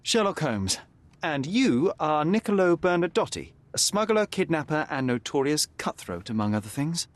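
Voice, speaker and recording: Young detective, confident, precise, animated intonation. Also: male voice, fairly high pitch, normal speed, dry recording. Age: young adult. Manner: calm, precise, deductive. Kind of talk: video game cutscene dialogue.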